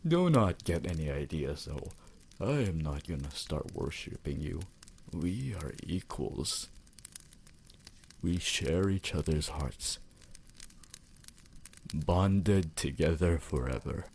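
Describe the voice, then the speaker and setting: A man playing an ancient, possessive and refined male vampire lover in an intimate ASMR roleplay, speaking in formal, theatrical phrases with pauses. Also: a bass voice, lively intonation, gravelly, a little mellow, a little hiss or hum, full character voice. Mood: tender, proud.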